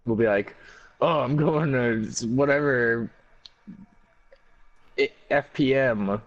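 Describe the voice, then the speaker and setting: A man's voice: medium pitch, slow pace. A man co-hosting a casual chat, making hesitant, joking remarks with restarts. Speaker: co-host. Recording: dull lo-fi sound.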